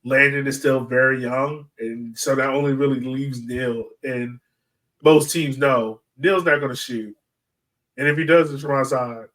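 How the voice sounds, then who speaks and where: A man with a medium-pitched voice talks as a podcast co-host. He gives sports analysis in an informal, conversational style. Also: steady tone, unhurried, a bit bright.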